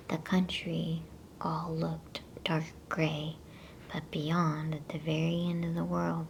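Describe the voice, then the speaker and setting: Slow, whispering woman reading a bedtime story. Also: sits low, gravelly, a little hiss or hum, low-quality recording. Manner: hushed, soothing, unhurried whisper. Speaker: ASMR storyteller reading a children's novel aloud.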